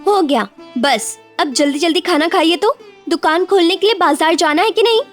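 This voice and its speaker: A woman voicing a devoted, sensible young village wife in an animated moral story, with a caring, expressive and dramatic manner. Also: very high voice, normal speed, faint music behind. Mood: playful, brisk.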